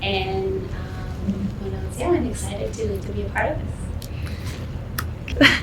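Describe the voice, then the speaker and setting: A woman's voice: mid-range voice, slow. A woman in her thirties from Mexico, chatting in an upbeat, emphatic way with lots of "like". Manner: upbeat, emphatic, many likes. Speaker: podcast guest, consultant. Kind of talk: casual chat. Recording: echoey room, far from the mic, noisy.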